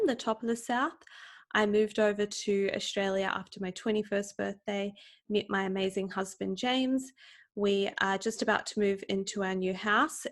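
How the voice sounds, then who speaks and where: Upbeat New Zealand woman hosting a podcast. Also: mid-range voice. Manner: upbeat, gushing, uses fillers like "um" and "like". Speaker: podcast host. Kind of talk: casual solo podcast intro.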